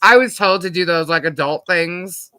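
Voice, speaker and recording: A middle-aged American woman with a deep husky voice, chatting casually and bluntly as a live stream host, telling rambling anecdotes in slangy speech. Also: normal speed, bright, forceful tone, no echo. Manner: blunt, slangy, animatedly quotes herself and others.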